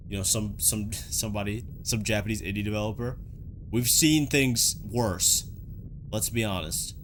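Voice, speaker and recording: A man's voice: on the low side, a bit bright, slight background noise. A man giving casual, conversational reaction commentary on game footage, with dryly joking asides. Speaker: host of a video reaction and analysis show. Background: background music.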